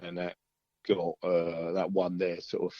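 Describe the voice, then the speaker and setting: A man with a fairly low voice talks casually as a guest musician in an interview. He rambles in an easygoing, hesitant way. The sound is dull and lo-fi, with a dry, close feel. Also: completely flat delivery, a little slow, dry recording. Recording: dull lo-fi call audio.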